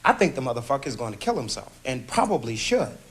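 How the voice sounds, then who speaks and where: A man's voice: medium pitch, sing-song, fast talker. An actor playing a blunt, sardonic man in group therapy in a comedy film, mocking and profane. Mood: indignant, sardonic.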